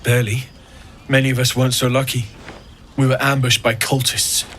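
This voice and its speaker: A man acts a friendly refugee smith in a fantasy game. His voice is bright and direct, earnest in delivery, with faint noise behind. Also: mid-range voice, level tone, normal speed, lightly acted. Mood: somber. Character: friendly, plain-spoken male refugee blacksmith.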